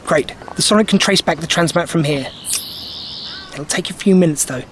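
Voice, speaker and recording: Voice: medium pitch, normal speed, a little hiss or hum, natural voice. An actor playing a breezy, eccentric time traveler in an audio drama, explaining things in a wry, glib way. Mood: brisk, confident.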